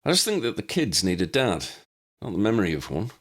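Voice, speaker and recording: An easygoing, cheeky young father from an audiobook, acted by a man. He is plain-spoken and earnest, with a husky voice and very animated intonation. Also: on the low side, a little fast. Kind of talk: audiobook character reading.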